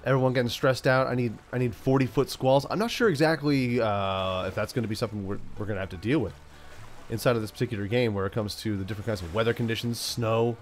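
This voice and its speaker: A man's voice: mid-range voice, fairly even intonation, fast talker, faint music behind. Stuffy-nosed man playing a game. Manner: playful, talks to himself, yells bursts like "send it". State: stuffed up from a cold. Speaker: gaming channel host. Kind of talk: casual let's-play commentary.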